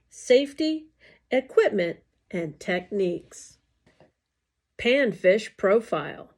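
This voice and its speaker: A woman reading scripted educational narration aloud in a deliberate, enunciated way. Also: fairly high pitch.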